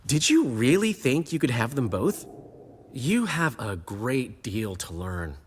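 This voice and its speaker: A man's voice: mid-range voice, very animated, takes it slowly, slight background noise. A man playing a flamboyant, teasing bard and ladies' man in a video game, with a theatrical, amused delivery. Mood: smug, teasing.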